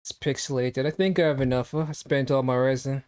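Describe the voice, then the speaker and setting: A man's voice: medium pitch, fairly even intonation, brisk, darkish tone, clean tone. A young man streaming a game, chatting casually and teasing his chat with jokes. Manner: joking, teasing, reacting to chat.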